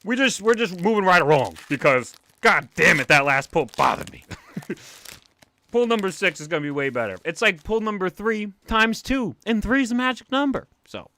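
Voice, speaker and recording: A young man with a New York accent, chatting excitably on a livestream while opening comic packs, with a cartoonish way of talking. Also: high voice, normal speed. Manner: excitable, animated, talks like a cartoon character. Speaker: comic collector streamer. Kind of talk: casual livestream chat.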